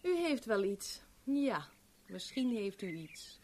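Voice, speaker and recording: An actress playing a cool, haughty young millionaire's stepdaughter in a radio drama, curt and cutting. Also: female voice, medium pitch, big pitch swings, slow pace, darkish tone. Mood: curt, dry.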